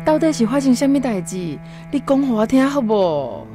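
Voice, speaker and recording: A woman with a Taiwanese accent playing a gentle, worried, consoling wife of a poor peddler in a radio drama. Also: a little high, big pitch swings, moderate pace. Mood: concerned. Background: plucked guitar music.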